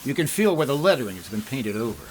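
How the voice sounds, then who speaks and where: A man's voice: mid-range voice, sing-song, fast talker, noisy background, lightly acted. A man playing a cool, tough investigator in 1950s radio detective style, clipped, dry and dramatic. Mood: commanding. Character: cool, wry, tough male investigator and narrator.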